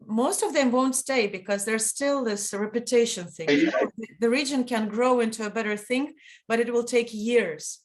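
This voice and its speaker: A woman's voice: a little high, normal speed. A young woman from northeast Estonia speaking on an online panel, hesitant, with many fillers and restarts. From Ida-Viru County, Estonia. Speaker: youth panelist.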